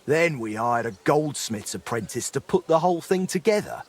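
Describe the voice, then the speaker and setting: A middle-aged former smith worn down into mining, in a dramatic game. His voice is husky and very animated in pitch. He talks in an earthy, colloquial way, full of rueful apology. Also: normal speed.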